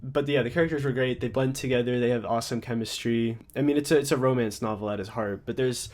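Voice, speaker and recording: A man's voice: mid-range voice, fairly even intonation, fast talker, darkish tone. A young man giving a casual, off-the-cuff book review, a bit congested, rambling with lots of fillers and restarts. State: congested, slightly stuffy.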